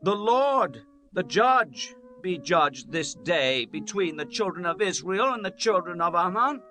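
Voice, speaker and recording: Man acting a high, bright-voiced, defiant Israelite leader. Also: animated, a little slow, full character voice. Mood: solemn, defiant. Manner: declamatory, formal. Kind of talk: dramatized scripture reading. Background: music.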